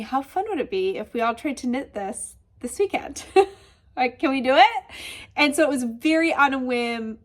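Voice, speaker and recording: A woman with a Michigan accent hosting a knitting podcast, congested and a little nasal, chatting casually with lots of asides. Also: high-pitched. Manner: chatty, bubbly, with many asides. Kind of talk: casual chat.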